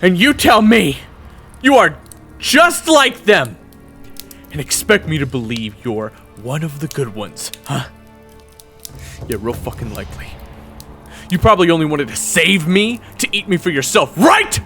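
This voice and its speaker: A man's voice: fairly high pitch, animated, measured pace, pauses often, sharp tone, pitched above the performer's own voice, heavily acted. A man voicing a wary, exhausted young soldier who stammers and swears defensively, in an audio roleplay with music behind. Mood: suspicious. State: exhausted and hungry.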